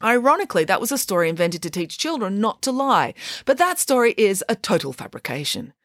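Storybook nanny pig, voiced by a woman, assured, emphatic, lively pitch, dry sound. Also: brisk, no echo.

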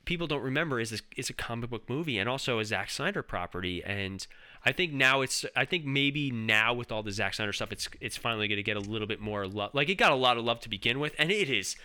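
A man's voice: medium pitch, lively intonation, quick pace, sharp tone, clean tone. A man co-hosting a casual film podcast, talking enthusiastically and rambling with restarts. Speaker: podcast co-host.

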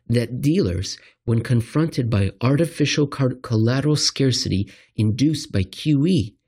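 A man reading a written financial commentary aloud, with deliberate phrasing and drawn-out emphasis on certain words. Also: medium pitch, warm tone, slightly rough.

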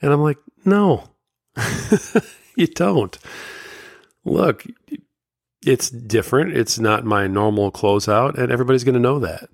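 A man's voice: mid-range voice, expressive, slow pace, vocal fry, pauses often, no echo, studio quality. A man hosting a solo podcast, talking candidly and motivationally, his voice slightly scratchy from a summer cold. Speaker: podcast host.

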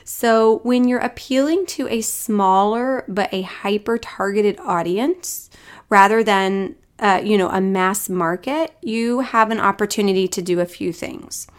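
A woman's voice: medium pitch, measured pace. A woman hosting a solo podcast in a casual, upbeat and encouraging way, still a little congested and scratchy from a recent cold. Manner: upbeat and encouraging, with frequent fillers. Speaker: podcast host.

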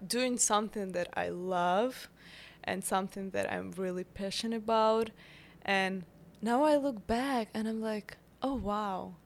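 A woman in her twenties speaks with a Ukrainian accent in a relaxed interview. She talks slowly, stopping often on fillers and restarts, at a middle pitch. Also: vocal fry. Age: 20s. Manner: halting, full of fillers and restarts. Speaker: guest, bakery founder. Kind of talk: casual interview chat.

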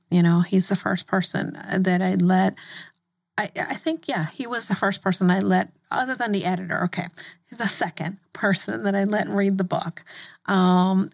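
Middle-aged woman, interview host, middle pitch, supportive and affirming. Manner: supportive, many affirming murmurs. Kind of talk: casual interview conversation.

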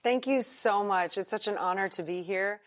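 An American woman giving an interview as a guest, talking candidly about her past. She pauses often and restarts her sentences with fillers. Her voice sits at an ordinary middle pitch. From United States. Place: hotel in Singapore.

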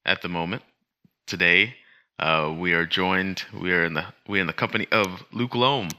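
A man's voice: low voice, pushed and bright. A man hosting a podcast, opening the show and questioning a guest in a casual, chatty way. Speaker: podcast host. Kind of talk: show intro and interview.

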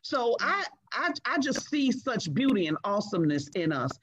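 A middle-aged woman speaking as a guest in a conversation, earnest and emphatic, measured in her delivery. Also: a little high, very animated, slightly rough, dry recording, muffled recording. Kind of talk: reflective conversation.

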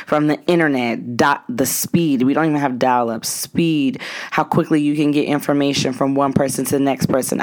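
A woman's voice: very deep voice, a little mellow. 20s woman just talking, reflective pep talk, earnest and emphatic, repeats herself to make a point. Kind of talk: casual reflective motivational monologue.

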